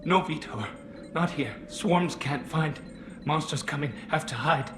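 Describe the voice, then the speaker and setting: A man playing a nervous, delirious young alien pilgrim in a video game, muttering in halting, repetitive fragments. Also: on the high side, noisy background, lightly acted. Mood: frightened muttering. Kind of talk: video game cutscene acting.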